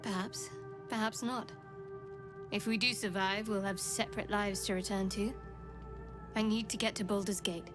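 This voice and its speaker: A woman's voice: medium pitch, fairly even intonation, normal speed, long pauses, lightly acted. An actress playing a guarded, secretive young adventurer woman in a fantasy video game, reserved and dryly wry. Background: game music.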